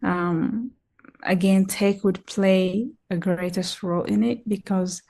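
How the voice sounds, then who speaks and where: A young woman from Ethiopia, a podcast guest, speaking in a hesitant way with many pauses and restarts. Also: mid-range voice, fairly even intonation, gravelly, warm tone. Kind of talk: casual interview talk.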